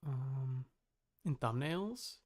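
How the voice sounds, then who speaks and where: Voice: mid-range voice, expressive, very slow, darkish tone. A livestreamer chatting casually and muttering to themselves in a scattered, self-interrupting way.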